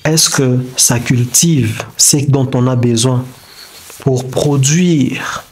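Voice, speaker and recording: A man whose first language is not French gives a reflective motivational talk, halting and searching for words with many restarts. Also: mid-range voice, breathy edge. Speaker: self-development video creator. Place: indoors beside a whiteboard diagram.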